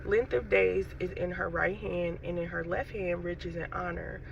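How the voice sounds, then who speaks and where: A woman with a scratchy throat and a stuffy nose gives an unhurried, casual spiritual message to her viewers, often saying "you know" and "um". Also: lowish voice, steady tone, creaky. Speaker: faith vlogger. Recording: dull, distant lo-fi recording with faint noise.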